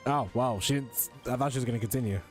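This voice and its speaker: A man's voice: medium pitch, fast. A man giving casual, slangy, joking gaming commentary as he streams a story quest. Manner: slangy, joking, reads on-screen text aloud. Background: game music.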